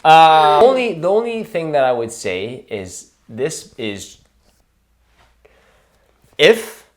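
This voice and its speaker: A young man in casual conversation with his girlfriend, explaining his views in a relaxed way and laughing now and then. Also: fairly low pitch. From Canada. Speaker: boyfriend co-host. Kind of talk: casual couple chat.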